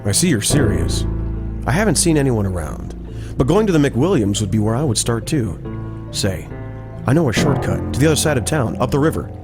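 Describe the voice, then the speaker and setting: A man's voice: medium pitch, very animated, brisk, music in the background, natural voice. A man playing an easygoing small-town fisherman, a friendly neighbor in a game cutscene. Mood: easygoing, teasing. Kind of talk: acted game cutscene dialogue.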